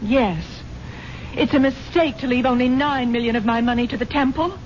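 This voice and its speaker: A woman plays a wealthy, stubborn American wife in a 1970s radio drama. Her voice is fairly high, theatrical and earnest, on a dull broadcast with faint noise. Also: normal speed, natural voice. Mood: indignant, defiant. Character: trusting, stubborn wealthy wife, no longer young.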